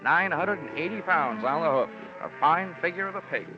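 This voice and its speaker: A man's voice: on the high side, lively intonation, moderate pace, over music, natural voice. An American man playing a wry, flippant insurance investigator in a mid-century radio detective drama. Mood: droll narration. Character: wisecracking, cool male freelance insurance investigator. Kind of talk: 1940s-50s radio detective acting with first-person narration. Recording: dull, noisy vintage radio recording.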